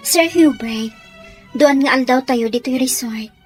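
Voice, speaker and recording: A woman acts out a young housemaid in an Ilocano radio drama, her voice very high and girlish. She is shy and deferential, speaking to her employers with timid respect. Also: unhurried, husky, faint music behind. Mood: respectful, concerned.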